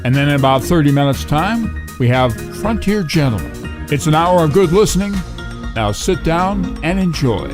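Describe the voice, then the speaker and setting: A man's voice: on the high side, lively intonation, normal speed. A man hosting a radio programme, giving a casual scripted introduction. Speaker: host of a radio download show. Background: music.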